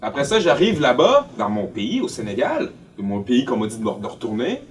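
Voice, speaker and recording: A man's voice: mid-range voice, wildly swooping pitch, moderate pace, recorded from a distance. A man with a Quebec French accent telling personal stories in an interview, expressively acting out remarks that he quotes. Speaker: documentary interviewee. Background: faint music.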